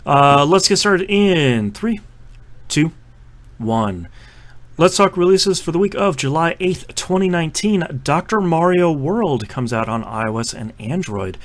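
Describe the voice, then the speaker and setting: A man hosting a casual podcast about video games, chatting and running the segments with quick asides. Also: fairly high pitch, expressive. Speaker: podcast host. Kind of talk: casual chat.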